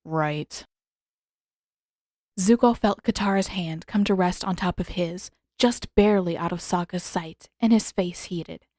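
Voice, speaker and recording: A woman's voice: fairly low pitch, normal speed, hardly any pauses, dark tone, no echo, clean studio sound. A woman reading scripted fiction aloud as the narrator of an audiobook.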